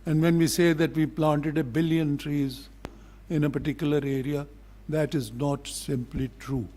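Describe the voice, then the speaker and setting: An older man with a Pakistani accent speaks as a diplomat in a formal public address, in a deliberate, blunt, admonishing manner. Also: on the high side, fairly even intonation, warm tone, a little hiss or hum.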